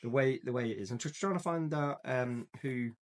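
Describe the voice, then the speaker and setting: Casual chatty man, medium pitch. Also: moderate pace. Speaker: podcast co-host. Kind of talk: casual film and games discussion.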